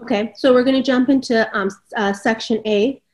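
Slightly high-voiced woman reading aloud. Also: fairly even intonation, fairly quick, background noise. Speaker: co-host reading the standard. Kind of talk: reading a standard aloud with brief remarks. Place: kitchen at home, indoors, on a video call.

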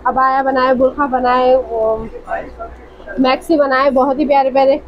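A woman's voice: sits high, level tone, normal speed, muffled recording. A woman hosting a casual shopping vlog, enthusiastically praising and repeating what the seller says. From Pakistan. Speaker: vlog host. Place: indoor fabric shop. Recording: clear background shop noise.

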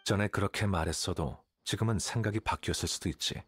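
A man voicing a cool, blunt, calculating young scholar in a video game, with a detached, measured, condescending manner. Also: deep voice, fairly bright tone, husky. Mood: cool, threatening. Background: game music.

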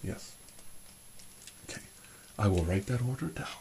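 Spy roleplay gadget-man, acted by a man: put-on British accent, slow and genteel, nostalgic old-guard charm, some background noise. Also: on the low side, animated, vocal fry, mellow. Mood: chummy, fond. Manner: genteel, reminiscing, old-fashioned turns of phrase. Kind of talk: improvised one-sided spy roleplay, addressed to the listener.

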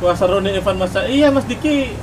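A man's voice: high voice, lively intonation, brisk. A man with an Indonesian accent playing a police lieutenant in online game roleplay, talking over radio and chat. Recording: clear vehicle noise in game. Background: game vehicle and ambience sounds.

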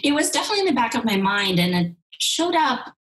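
A woman's voice: fairly high pitch, big pitch swings, quick pace, a bit bright, low-quality recording. A woman in her forties, raised in Ukraine with Russian as her first language, speaking thoughtfully with restarts on a video call from home. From Ukraine, then Soviet Union. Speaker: guest, sex and intimacy coach. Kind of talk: candid interview. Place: home room, indoors.

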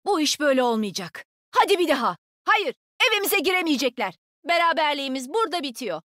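A woman's voice: high voice, sing-song, quick pace, fairly bright tone, no echo, studio quality. A woman playing a cocky, nimble young female agent in a shooter game, with a sassy, brash, taunting manner. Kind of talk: video game combat voicelines.

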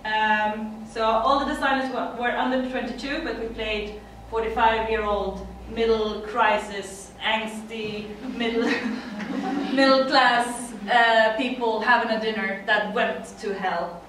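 A woman's voice: on the high side, steady tone, slow pace, a bit bright, a little hiss or hum. A middle-aged Swedish woman with a Swedish accent, giving a chatty conference talk in English with frequent laughs and fillers. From Sweden. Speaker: conference speaker. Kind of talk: presentation talk. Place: dim conference room. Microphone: far room mic. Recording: echoey room from far off.

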